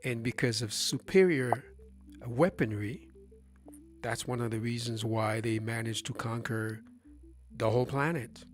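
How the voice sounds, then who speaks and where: A man with a middle-pitched voice hosts a casual live stream. He argues emphatically, leans on rhetorical questions and often stumbles over and restarts his words. Faint music plays softly behind him. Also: a little slow. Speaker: livestream host.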